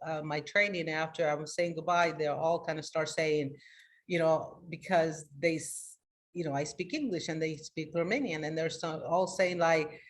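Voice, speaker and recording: Energetic woman coach hosting a live talk. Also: sits low, normal speed. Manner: energetic and emphatic, with many restarts. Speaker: life coach and trainer. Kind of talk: live motivational talk to an online group.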